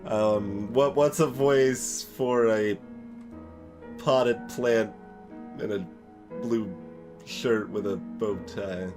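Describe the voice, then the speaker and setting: A man's voice: fairly low pitch, very slow, long pauses. Casual male let's player over music. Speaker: let's player, video game streamer. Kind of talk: casual gaming commentary with read-out dialogue.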